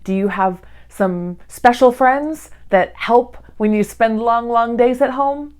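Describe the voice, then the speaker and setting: A woman hosting a read-along, speaking to young children in simple, clearly phrased storytime style. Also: on the high side, takes it slowly, a little mellow.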